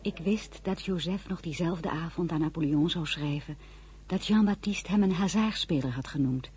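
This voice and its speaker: A woman's voice: fairly low pitch, normal speed, a little mellow, slight background noise, natural voice. An actress playing a candid young French bride who narrates her memoirs in a radio drama. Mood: wry, reflective.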